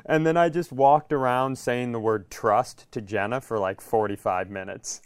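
An American man hosting a comedy podcast, telling sweary, animated anecdotes in a casual style. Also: medium pitch, moderate pace, clean tone, no echo, studio quality. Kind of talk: casual comic storytelling.

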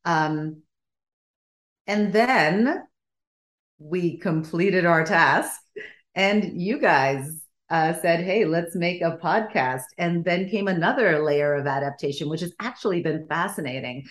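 A woman's voice: lowish voice, takes it slowly. A woman raised in Sweden whose first language is Bulgarian, speaking as a playwright guest and searching thoughtfully for her words. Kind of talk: reflective interview talk.

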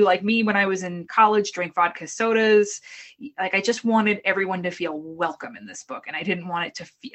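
A woman's voice: mid-range voice, fairly bright tone. Boston lady author gabbing on a video call, self-deprecating, trips over her words a lot. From Boston area. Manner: chatty, self-deprecating, restarts often. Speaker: author guest. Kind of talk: casual interview chat.

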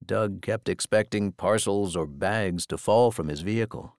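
A man narrating an audiobook, reading gentle, tender dialogue between characters. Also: lowish voice, unhurried, dry recording, clean studio sound. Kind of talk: scripted narration of a novel.

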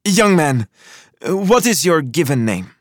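A man voicing a composed, forceful Lebanese woman with formal, deliberate phrasing in an audiobook. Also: a little high, very animated, no echo, studio quality, voice pushed up. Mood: gentle, grateful. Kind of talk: audiobook character voice.

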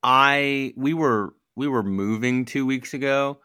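A man co-hosting a podcast, chatting casually, agreeable and halting. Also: medium pitch, bright, forceful tone, smooth, no room sound, studio quality. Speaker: podcast co-host. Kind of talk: casual chat.

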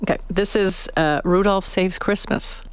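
A woman's voice: medium pitch, unhurried, very noisy. A woman chatting casually as host of a live radio interview, close on a studio microphone. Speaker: radio morning show host.

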